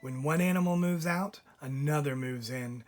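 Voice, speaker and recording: A man reads a children's picture book aloud in a measured, expressive way, pausing deliberately like a teacher telling a story to a class. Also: a little high.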